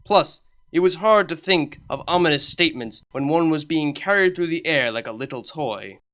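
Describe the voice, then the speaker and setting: A man reading a comedic fan story aloud, narrating with a wry, deadpan touch. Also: a little high, moderate pace. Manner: wry, deadpan delivery with lively emphasis. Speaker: fan fiction reader.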